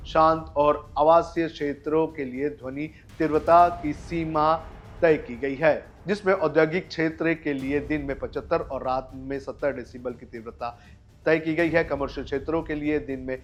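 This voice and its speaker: A man's voice: on the high side, steady tone, moderate pace. A man presents the news in a scripted narration style over a music bed. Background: background music.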